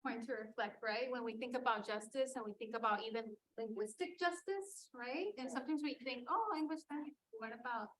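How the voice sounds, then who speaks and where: A woman's voice: on the high side, brisk, hardly any pauses, a bit bright, a little hiss or hum, rough recording. A woman teacher moderating a class discussion in a questioning, reflective manner.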